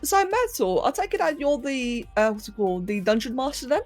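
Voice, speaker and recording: A woman's voice: sits high, sing-song, brisk, faint music behind. A young woman hosting a casual livestream, reading chat, greeting viewers and laughing as she talks to her pets. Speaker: livestream host. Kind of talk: casual chat with live chat while sculpting.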